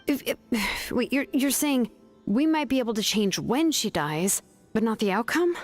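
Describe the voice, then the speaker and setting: A woman's voice: on the high side, expressive, moderate pace, faint music behind, close to an everyday voice. A woman voicing a small, anxious, loyal young companion in a video game, earnest in the way they speak. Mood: worried. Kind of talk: video game story dialogue.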